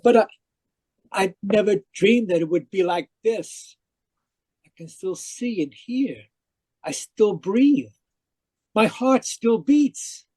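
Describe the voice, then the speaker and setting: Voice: medium pitch, animated, slow pace, long pauses, warm tone, slightly rough, dry recording. An actor in a radio mystery playing a distraught, newly dead spiritualist, dramatic and earnest. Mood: desperate, pleading. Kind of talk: old-time radio mystery acting and narration.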